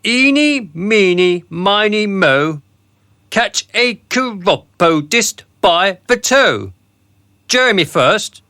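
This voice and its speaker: A man playing a pompous, callous older gentleman in a satirical radio drama, speaking in a deliberate, mock-formal way. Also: sits high, big pitch swings, clean tone, exaggerated voice. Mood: gloating.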